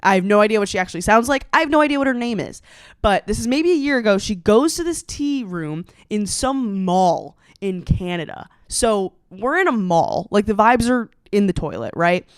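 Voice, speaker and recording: A young American woman hosts a casual comedy podcast, speaking in a smooth, clean voice. Her delivery is slangy and sarcastic, leaning hard on key words for drama. The sound is dry and close, with no room on it. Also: medium pitch, moderate pace, no echo. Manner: animated storytelling, slangy, sarcastic, dramatic emphasis.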